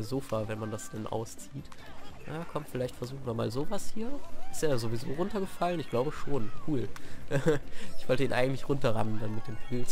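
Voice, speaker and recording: A young man with a cold, chatting through gameplay as a let's play host, with sudden shouted outbursts, recorded on a sofa at home. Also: mid-range voice, creaky. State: has a cold, clears throat. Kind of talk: gameplay commentary. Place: living room at home, on a sofa. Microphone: desk mic propped on games on the sofa. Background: faint game music.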